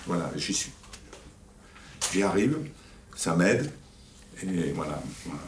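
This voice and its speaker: A middle-aged man sharing in a group circle, halting and repeating words but self-assured. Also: sits low, lively intonation. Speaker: retreat participant. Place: indoor room around a table. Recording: roomy, distant mic, faint noise.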